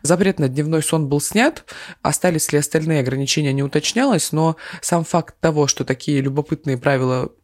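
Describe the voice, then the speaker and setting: A woman's voice: sits low, brisk, a little mellow. A woman from Siberia co-hosting a morning news podcast over a video call, delivering the news with dry, wry humor. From Siberia, Russia. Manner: wry, dry humor, matter-of-fact reading. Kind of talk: news podcast presenting and banter.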